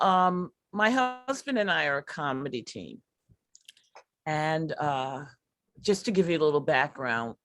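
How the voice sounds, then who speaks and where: Slow-talking middle-aged woman telling her story. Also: low-pitched, a bit bright, no echo, studio quality. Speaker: comedy performer. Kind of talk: conversational personal storytelling.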